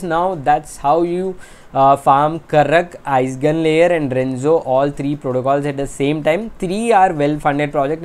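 A man with an Indian accent narrates a desktop screen recording as a channel host. He walks through each step in a plain, instructional way, pausing often on filler sounds as he goes. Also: on the high side, a little mellow. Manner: step-by-step explanatory, frequent filler hesitations. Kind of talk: screen-recorded crypto tutorial. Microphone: voice-over on desktop screen capture.

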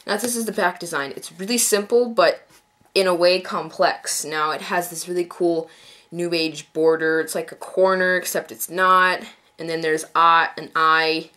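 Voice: mid-range voice, fairly even intonation, normal speed, pushed and bright. A young adult with a stuffy, congested voice from a cold, giving a casual unscripted review in a rambling, self-correcting way. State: mild head cold. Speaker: card deck reviewer. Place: indoors at a card table.

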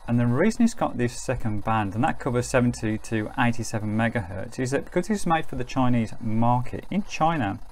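A man's voice: mid-range voice, creaky, slight background noise. A middle-aged man giving a casual product review in a chatty, matter-of-fact way. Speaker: host reviewing gadgets.

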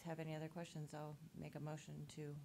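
A woman's voice: sits low, monotone, fast talker, vocal fry, crowd noise. A woman on a school board asking about an upcoming audit and moving to approve an item in a businesslike way. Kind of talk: board meeting remarks.

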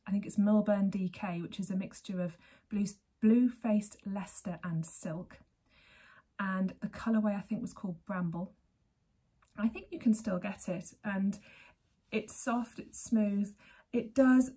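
A woman's voice: mid-range voice, warm tone, slightly rough. A woman in her thirties from England, chatting casually to camera as host of a knitting podcast from her living room, rambling and laughing often. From Berkshire, England. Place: sunny living room at home. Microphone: camera at desk distance.

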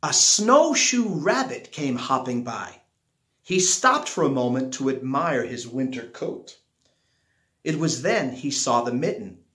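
Expressive middle-aged man, slow storybook reading. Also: medium pitch. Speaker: storyteller reading a picture book aloud. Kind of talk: children's storybook read-aloud. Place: small living room at home, indoors. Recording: roomy, echoey home recording.

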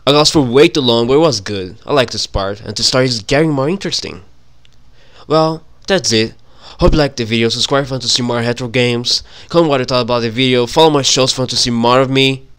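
A man's voice: mid-range voice, fast, a bit bright, smooth. A young man hosting a gaming video, chatting casually and informally with a self-deprecating manner. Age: young adult. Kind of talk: casual game commentary, reading dialogue aloud. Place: small room at home. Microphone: handheld microphone.

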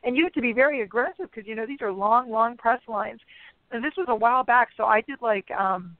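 A woman chats at length as an interview guest, rambling with plenty of "like" and "you know". Her voice has a slight rasp today. The sound is dry and squeezed thin like a phone call. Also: a little high, brisk, dry recording. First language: English. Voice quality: a bit raspy today. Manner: chatty, rambling with many fillers. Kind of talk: casual interview chat. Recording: phone-line radio sound.

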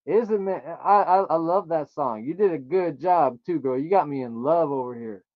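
A man's voice: a little high, moderate pace, dry recording, dull audio. A man doing a prank call on a livestream, bantering in a flirtatious, crude, effusive and slangy way. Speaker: live streamer making a prank call.

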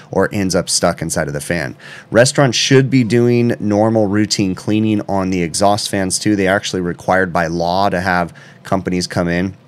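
Very deep-voiced man explaining a trade. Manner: explains step by step, often checks in with "okay?". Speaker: HVAC technician explaining a repair. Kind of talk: instructional explanation.

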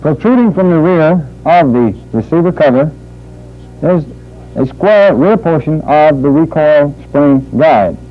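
Male army instructor for vintage training film, higher-pitched voice, formal and deliberate, thin phone-like sound. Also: lively intonation. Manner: formal, measured, deliberate phrasing. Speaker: military weapons instructor.

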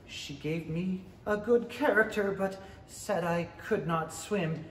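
Man playing a high-voiced, hesitant rabbit court herald. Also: slow, fairly bright tone, a little hiss or hum. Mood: formal recitation. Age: middle-aged. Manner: hesitant, stammering. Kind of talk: 1930s radio play acting. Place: indoor stage in a small theatre. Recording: roomy, echoing stage pickup.

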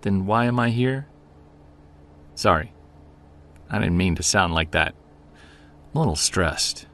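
A man's voice: deep voice, expressive, measured pace, a bit bright, full character voice. An actor playing a weary, stressed male investigator confiding in an audio log, hesitant and downbeat, as in an audio drama. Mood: tense. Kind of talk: audio drama, confessional narration.

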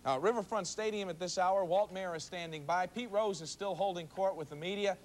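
A man's voice: high-pitched, fairly quick, a little hiss or hum. A male news anchor in a TV studio, ad-libbing his lead-ins to live reports in a conversational way.

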